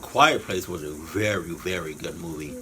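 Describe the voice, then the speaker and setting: A man giving casual, rambling reaction commentary in colloquial slang, haltingly and often repeating his phrases. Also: low-pitched, pushed and bright, a little hiss or hum. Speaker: reaction video host.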